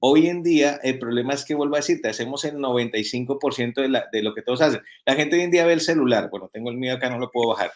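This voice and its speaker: A pastor speaks over a video call as an interview guest. He lays out his ideas earnestly, quizzing the listener with quick yes-or-no questions. His voice sits at an ordinary middle pitch for a man. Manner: earnest teaching tone with rhetorical questions. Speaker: pastor and author. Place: home in Spain.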